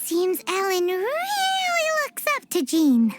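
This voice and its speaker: A woman voicing a tiny, chirpy, curious fairy companion in a video game, with a squeaky childlike voice. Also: wildly swooping pitch, slow pace, heavily acted. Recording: loud game music behind voice. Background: game music.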